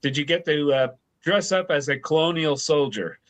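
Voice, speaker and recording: A man's voice: fairly high pitch, normal speed. A man hosting a podcast interview, asking loose, rambling questions in a casual way. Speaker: podcast host.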